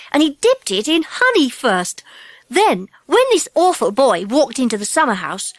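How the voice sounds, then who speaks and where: An excitable British schoolchild from an old-fashioned storybook gang. The voice is high and swoops in lively exclamations, earnest throughout, recorded close and dry. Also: female voice, no echo. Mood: scheming. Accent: British English.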